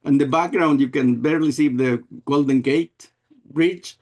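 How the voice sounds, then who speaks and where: An older man from America, giving an unhurried webinar presentation over a video call and often restarting his phrases. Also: fairly high pitch, a little mellow. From Guatemala and El Salvador. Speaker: guest presenter, math educator.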